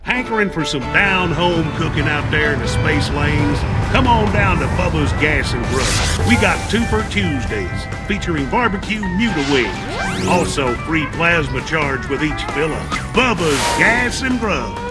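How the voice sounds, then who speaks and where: A folksy male pitchman for a space diner in a game ad. His fairly high voice has a twang and sits over loud music. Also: expressive, unhurried. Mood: folksy, salesy. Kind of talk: down-home commercial pitch.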